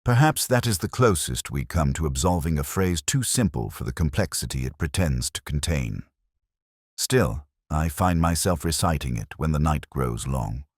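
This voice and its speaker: A man playing a melancholy older English police inspector, delivering a measured, solemn, literary monologue in a radio drama. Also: rumbling low, breathy edge, dry recording, clean studio sound, exaggerated voice. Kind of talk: scripted first-person radio drama monologue.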